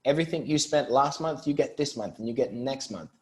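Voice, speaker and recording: A man's voice: mid-range voice, a little fast. A man with an Australian accent, speaking as an interview guest and explaining things in an informal, conversational way. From Brisbane, Australia. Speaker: interview guest, marketing entrepreneur.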